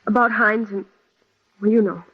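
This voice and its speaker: A woman's voice: medium pitch, steady tone, moderate pace, close to an everyday voice. A woman playing an anxious, pleading young switchboard girl in an old radio drama, urgent and coaxing. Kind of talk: 1940s radio drama acting. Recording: muffled old radio recording.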